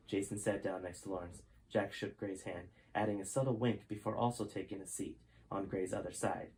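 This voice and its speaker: A man's voice: low voice, steady tone, a little fast, darkish tone, a little hiss or hum, rough recording. A man narrating his own novel in a plain reading voice, with occasional slips and restarts. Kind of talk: storybook narration.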